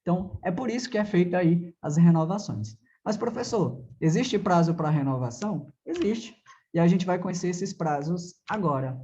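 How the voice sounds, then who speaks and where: A Brazilian man teaches in a conversational, didactic way, often asking a question and then answering it. His voice is mellow and soft-edged. The recording is a little dull and lo-fi, like a simple lesson capture. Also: sits high, expressive, moderate pace. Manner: didactic, asks and answers own questions, frequent check-in tags. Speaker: driving theory teacher. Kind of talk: conversational classroom lecture.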